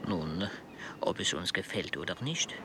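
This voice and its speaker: An actor in a radio play voicing a grudgingly mellowed man who reflects drily and deliberately, sometimes half whispering. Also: low voice, animated. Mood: reflective, wry. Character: male observer, grudgingly mellowed, dry and reflective. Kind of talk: German radio play acting. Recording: clear background hiss and animal ambience.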